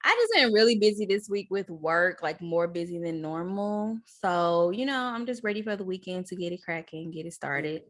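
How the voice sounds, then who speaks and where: A woman's voice: lowish voice, lively intonation, normal speed, few pauses. A woman from St. Louis with a St. Louis accent, a sassy, colloquial podcast co-host. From St. Louis, USA.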